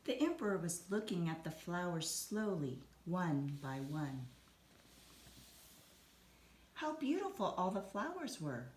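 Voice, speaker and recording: Lady reading a kids story out loud, expressive, lowish voice, echoey room. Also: slow, pauses often. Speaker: teacher reading a storybook aloud. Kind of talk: expressive children's storybook narration. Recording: roomy echo, some background noise.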